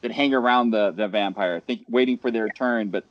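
A man's voice: on the low side, moderate pace. A man moderating a panel from home on a headset, talking in a rambling way with many restarts. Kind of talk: casual panel discussion. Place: home room. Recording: dull lo-fi video call.

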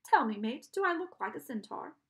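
A woman voicing a smooth, haughty male guard of the underworld in an audiobook, suave, condescending and theatrical, with a British accent. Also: high-pitched, big pitch swings, a little fast, fairly bright tone, voice pushed up, heavily acted. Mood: smooth condescension.

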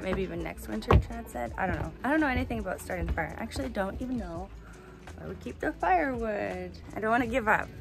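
A woman's voice: mid-range voice, creaky. A woman chatting casually to camera as a family vlogger, recorded on a handheld camera. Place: small family home and yard outdoors. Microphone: camera or phone at arm's length.

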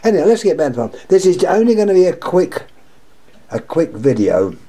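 An older man with a sore, croaky throat rambles through a casual show-and-tell of his lamps, doubling back on his own words. His voice is dark and mellow. He talks at home, into a camera mic, while he stays off screen. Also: fairly high pitch, sing-song, brisk. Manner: rambling, repeats himself, wry complaints. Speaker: lamp collector vlogger. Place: indoors at home, table of lamps.

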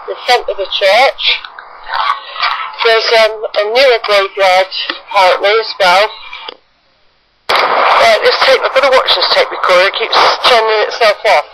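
A woman's voice: normal speed, pauses often. A middle-aged English woman thinking aloud in casual, rambling field notes, recorded on a handheld tape recorder. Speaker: family history researcher. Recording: noisy low-fidelity handheld cassette recorder.

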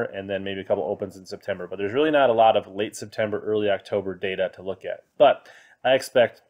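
A man's voice: on the low side, a little mellow. A man in his thirties hosting a fantasy fishing podcast, chatting casually through his picks with plenty of fillers. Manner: conversational, many fillers, reading from web pages. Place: indoor room, screen recording with webcam inset.

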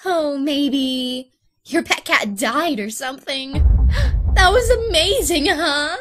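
A woman's voice: high-pitched, animated, slow, a bit bright, slight background noise, exaggerated voice. A woman voicing a cruel, gleeful, mocking schoolgirl bully in an anime-style dub.

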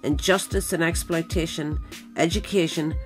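A woman narrator reading a scripted political essay in a measured, written style. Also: low voice, fairly even intonation, faint music behind, poor audio.

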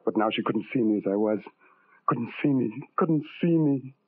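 An actor playing a tormented, self-loathing man in love, with halting, melodramatic delivery in an old-time radio drama. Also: mid-range voice, animated, no echo, lightly acted. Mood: anguished. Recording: muffled band-limited old radio recording, music behind.

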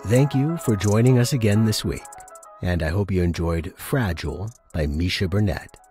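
A man narrating a story as a podcast host, with a husky voice and an expressive delivery. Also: low voice, moderate pace, music in the background. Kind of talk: expressive first-person audiobook-style story narration.

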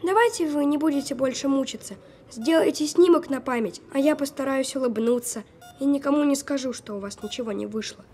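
Woman acting precocious boy of twelve, high voice, dry sarcasm, know-it-all, audio drama, faint noise. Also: fairly quick, darkish tone, natural voice. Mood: sarcastic, weary. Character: sick twelve-year-old boy, sceptical, sarcastic, precocious.

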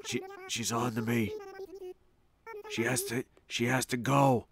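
A man's voice: mid-range voice, steady tone, takes it slowly, creaky, a crowd in the background. Man voicing female octopus villager, secretive, sweet, cagey, cozy game, barely changed voice. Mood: mock suspicious.

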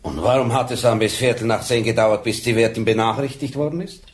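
An actor playing a gruff, blunt older police sergeant with a dry wit. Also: male voice, medium pitch, brisk, slight background noise, lightly acted. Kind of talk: 1980s radio drama acting.

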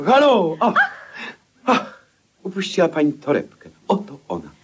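An actor playing an excitable, self-satisfied eccentric gentleman in a theatrical, flowery 1950s radio play style. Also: fairly high pitch, unhurried, husky, heavily acted. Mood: gushing. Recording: vintage 1950s radio recording, music behind.

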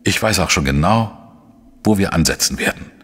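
A male actor playing a shrewd, dry investigative journalist who talks in a measured, explanatory way in a crime radio play. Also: low-pitched, animated, big-room sound, noisy background. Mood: measured reasoning. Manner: measured, explanatory, matter-of-fact. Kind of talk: German crime radio play, narration and dialogue.

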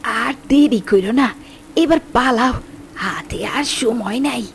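A woman voicing the ghost of a frail old woman who speaks in a hushed, half-whispered way. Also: fairly high pitch, slow pace, creaky, a little hiss or hum. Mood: urgent.

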